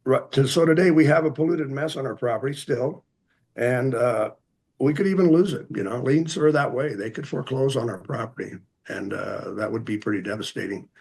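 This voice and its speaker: An American man giving halting, plain-spoken public comment at a hearing, speaking earnestly and hesitantly. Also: medium pitch, creaky, a little mellow, breathy edge. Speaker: member of the public addressing a commission.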